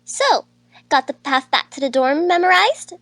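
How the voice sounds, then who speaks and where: A woman's voice: sits high, very animated, unhurried, fairly bright tone, dry recording, heavily acted. A woman voicing a confident, playful young female student in a visual novel. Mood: helpful, upbeat. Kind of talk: character dialogue in a visual novel reading.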